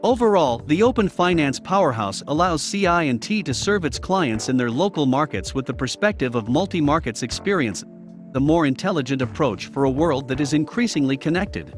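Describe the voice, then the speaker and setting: A man's voice: fairly high pitch, expressive, brisk, faint music behind. A man reading a scripted corporate video narration.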